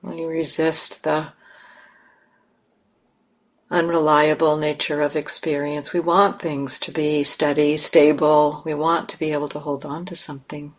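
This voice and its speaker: A woman leading a guided meditation in measured, instructive phrases with long pauses. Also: low voice, level tone. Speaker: meditation teacher.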